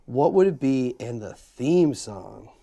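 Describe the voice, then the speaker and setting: A man hosting a casual podcast interview, his pitch rising and falling a lot as he talks. He rambles with many fillers and false starts. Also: animated, slow pace, vocal fry, mellow. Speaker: podcast host.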